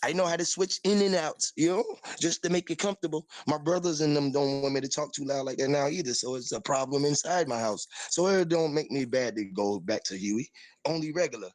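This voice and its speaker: A man's voice: fairly high pitch, fairly quick, a little mellow. A man in a put-on Caribbean island accent, rambling and boasting in profane casual chat on a call-in show.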